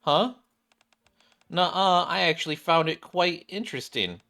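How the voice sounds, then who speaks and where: A man's voice: a little high, lively intonation, measured pace, bright, forceful tone, pitched above the performer's own voice, exaggerated voice. A man voicing a flustered, dozy schoolgirl who stammers as she eagerly bluffs. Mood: flustered, sheepish.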